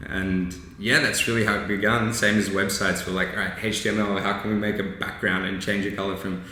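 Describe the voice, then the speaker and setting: Young male guest, low-ish voice, easygoing and chatty, heavy room echo. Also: little pitch movement, brisk, sharp tone. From Bangladesh, raised in Australia. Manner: easygoing, laughs often, frequent um and like. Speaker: startup co-founder, guest. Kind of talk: casual interview chat. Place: co-working space. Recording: very echoey room.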